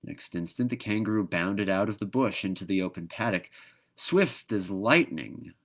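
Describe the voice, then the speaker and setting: Male storybook reader: deep voice, expressive narration for children. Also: normal speed. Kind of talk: expressive read-aloud narration of a children's book.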